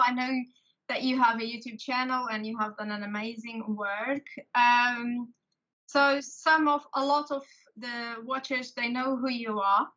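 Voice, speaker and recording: A Portuguese woman with an accent, hosting a video call interview in English, talking haltingly with many restarts. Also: a little high, sharp tone. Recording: lo-fi call audio.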